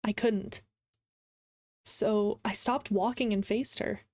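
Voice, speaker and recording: A woman voicing a hurt, angry male visual novel narrator in a measured, brooding way. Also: medium pitch, steady tone, darkish tone, no room sound, studio quality. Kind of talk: dramatic first-person story reading.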